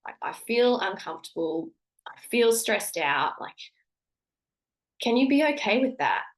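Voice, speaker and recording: A woman in her thirties, a little croaky and congested, hosting a solo podcast about motherhood in an encouraging, conversational way with frequent ums and restarts. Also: on the high side, big pitch swings, measured pace, low-quality recording. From Australia. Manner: warm encouraging tone with filler-heavy restarts. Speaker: podcast host.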